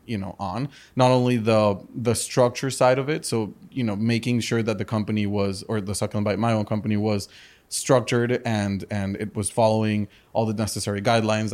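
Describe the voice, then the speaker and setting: A man's voice: on the low side, darkish tone. A man in his thirties who grew up in Spain, a business guest talking in a casual interview, earnest, restarting sentences and tagging them with "correct?". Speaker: entrepreneur guest.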